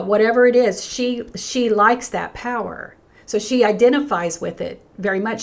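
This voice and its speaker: An older woman pastor hosting a livestream, talking earnestly and reading out viewer comments while she is losing her voice. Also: medium pitch. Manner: earnest and emphatic, with frequent restarts and a lively rise when greeting viewers. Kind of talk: unscripted livestream talk, reading chat comments.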